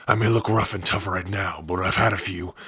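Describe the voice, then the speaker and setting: Male actor as kind-hearted orc outcast for fantasy ASMR: low, forceful, gruff and raspy, wry and protective. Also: no room sound, exaggerated voice. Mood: warm, amused. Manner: easygoing, wry, addressing a companion directly. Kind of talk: ASMR fantasy roleplay acting.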